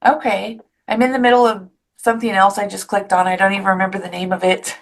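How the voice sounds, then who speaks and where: A woman's voice: mid-range voice, little pitch movement, quick pace, vocal fry. A woman hosting an interview podcast, chatty and enthusiastic, wandering into asides. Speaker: podcast host. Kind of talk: casual interview chat.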